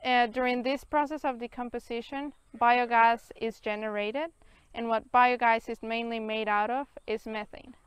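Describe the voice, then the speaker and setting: Young woman, smooth narration voice. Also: fairly high pitch, fairly even intonation, no echo. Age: young adult. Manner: clear, measured reading. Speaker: student presenter. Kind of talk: scripted video narration.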